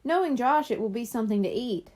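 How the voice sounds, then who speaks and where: An eager young boy voiced by a woman explains things briskly in a high voice. He is matter-of-fact and a bit animated, a storybook kid talking to his friends. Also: natural voice.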